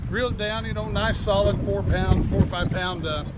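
A man chats casually to camera while fishing outdoors, in a folksy, halting way. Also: high voice, fairly even intonation. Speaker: fishing vlogger. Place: outdoors on a riverbank and boat ramp. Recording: outdoor recording with loud wind noise.

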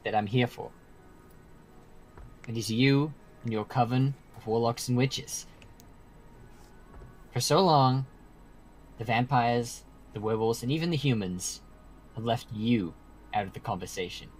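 A man's voice: medium pitch, darkish tone, slight background noise, lightly acted, poor audio. Man as an earnest, formal mortal emissary. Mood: solemn, persuasive. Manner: formal, measured, portentous delivery. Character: earnest, persistent male mortal emissary with a proposition. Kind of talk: amateur game roleplay acting.

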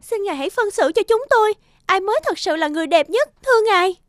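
A woman voicing a vain, proud goddess in an animated myth, haughty and insistent. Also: very high-pitched, quick pace. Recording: music behind the voice.

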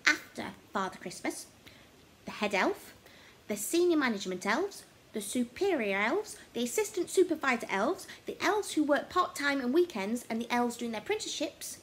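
A woman voicing a brash, boastful, easily wounded little creature in a children's Christmas story, loud and theatrical. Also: high-pitched, animated, normal speed, a little hiss or hum, pitched above the performer's own voice. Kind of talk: children's story character reading.

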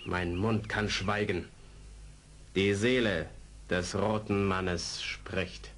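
Slow, deep, proud warrior villain. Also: male voice, fairly even intonation, fairly bright tone, full character voice. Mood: solemn, bitter. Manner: slow, declamatory, solemn. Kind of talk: 1970s German radio play acting.

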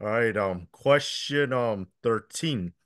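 A young man asks questions on a video call, speaking slowly and with many ums. His voice sounds dry and close, with hardly any room in it. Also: mid-range voice, lively intonation, no echo. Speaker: interviewer. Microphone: video call, laptop webcam.

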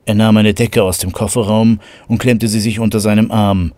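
A man's voice: lowish voice, flat delivery, moderate pace, mellow, dry recording. A man narrating a scripted horror story as the narrator of an audio drama.

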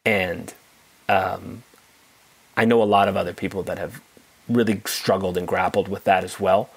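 A man with a very low voice hosts a conversational podcast. He is middle-aged and speaks candidly and loosely, full of fillers and quick asides. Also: vocal fry, breathy edge, rough recording. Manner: candid and animated, many fillers like "you know" and "like". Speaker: podcast host.

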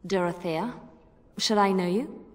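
A woman's voice: on the low side, unhurried, close to an everyday voice. An actress voicing a wounded, earnest young woman bard in a video game, wary and questioning. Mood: wary, puzzled. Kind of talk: video game cutscene acting. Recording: long reverberant hall echo.

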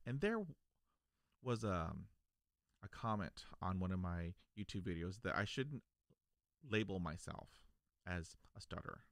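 A man in his forties who stutters, talking earnestly and encouragingly to camera from a home podcast studio on a boom mic. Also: expressive, unhurried, pauses often, fairly bright tone, no echo. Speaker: vlogger, podcast host. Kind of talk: personal talk to camera. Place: home studio room, indoors. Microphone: large podcast mic on a boom arm.

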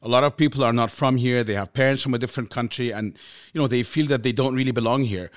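A man's voice: mid-range voice, fairly even intonation, fast. A man with an ordinary mid-range voice hosting an interview podcast. He reflects his guest's points back, summing them up and affirming them. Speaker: podcast host.

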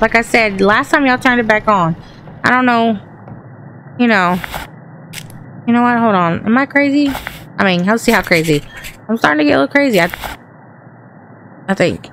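A woman with a Southern accent and a raspy voice chats to the ghosts while playing a horror game. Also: fairly high pitch, fairly bright tone, slight background noise. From Texas. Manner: talks to the game and its ghosts, jumpy and chatty. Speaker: gaming channel host. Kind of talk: horror game let's play commentary.

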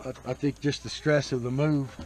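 A man's voice: mid-range voice, little pitch movement, normal speed, darkish tone. An American man chatting casually and explaining outdoors on a farm, often repeating his phrases, picked up by a nearby action camera. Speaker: homesteader showing his farm. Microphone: picked up by a nearby handheld action camera.

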